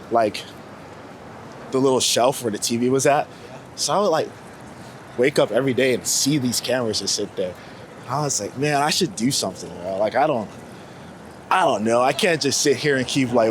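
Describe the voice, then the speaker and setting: A young American man from Florida talking casually and candidly in an interview, with informal, slangy speech. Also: medium pitch. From United States, Florida. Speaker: street photographer being interviewed. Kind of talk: casual reflective chat. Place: outdoors on New York city streets. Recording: outdoor street noise with passing vehicles. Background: city traffic ambience.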